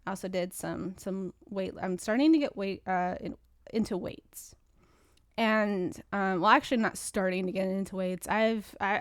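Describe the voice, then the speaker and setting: A woman's voice: mid-range voice, no echo, clean studio sound. A woman hosting her own podcast in casual, rambling chat, sounding stuffy and congested. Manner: rambling, chatty, frequent restarts. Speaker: podcast host.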